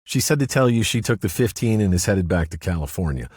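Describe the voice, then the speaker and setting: A man voicing a blunt, quick-to-anger lawman who swears freely. His voice is raised, husky and very close, with lively swings in pitch. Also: no echo. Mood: businesslike. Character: blunt, hot-tempered male marshal, gruff.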